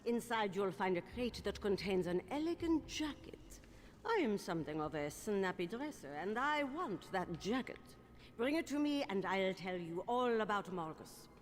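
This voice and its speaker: An actress voicing a shady, vain, self-assured underworld information broker in a video game, with a smooth-talking manner. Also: female voice, medium pitch, big pitch swings, normal speed, long reverb tail, slight background noise, close to an everyday voice. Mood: scheming, persuasive. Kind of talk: video game character dialogue.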